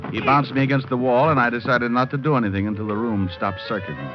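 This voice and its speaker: An actor playing a cocky, wisecracking hardboiled private detective who narrates with deadpan humor in an old-time radio drama. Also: male voice, mid-range voice, fast talker, lightly acted. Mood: wry narration. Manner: deadpan, flippant wordplay in narration and dialogue. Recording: muffled old radio recording with music.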